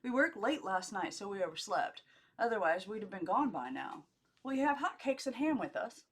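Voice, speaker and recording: A woman's voice: medium pitch, very animated, moderate pace. Woman doing a male gold miner, easygoing, folksy, hearty, plain-spoken, audiobook dialogue. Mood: hearty, welcoming. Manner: folksy, hearty, clipped phrases.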